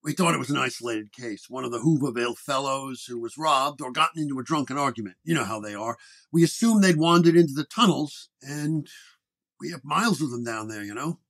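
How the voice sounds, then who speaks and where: A man's voice: medium pitch, a little fast, vocal fry, a bit bright. A man voicing a middle-aged, bureaucratic police chief, officious and wordy, in a radio horror drama. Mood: explaining. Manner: officious, wordy, stammers under pressure.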